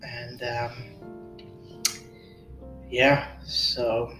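A man in his twenties tells a personal story on a podcast, halting and full of fillers, in a flat, even voice. He sits back from the mic in a roomy space. Music plays clearly behind him. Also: mid-range voice, far from the mic. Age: 20s. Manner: halting, many fillers and restarts. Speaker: podcast host. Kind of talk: personal storytelling and casual chat.

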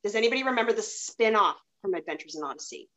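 Woman, average pitch, podcast host, conversational, somewhat halting delivery. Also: animated, a bit bright. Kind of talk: casual chat.

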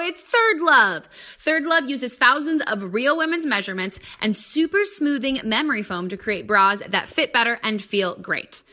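A woman hosting a podcast in a playful, conversational way. Her voice is bright and fairly high. Her pitch moves around a great deal as she chats informally and slips in casual asides. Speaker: podcast host. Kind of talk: casual chatty podcast talk and host-read ad.

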